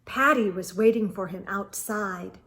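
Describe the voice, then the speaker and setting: A woman hosting a storytime, reading a children's book aloud in an expressive, deliberate storytelling way. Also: mid-range voice.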